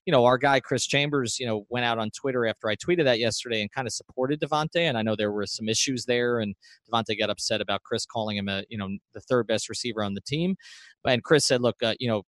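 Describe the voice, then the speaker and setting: A man's voice: medium pitch, fairly bright tone, no echo. A man hosting a sports podcast, giving conversational analysis in an emphatic way and repeating phrases for stress.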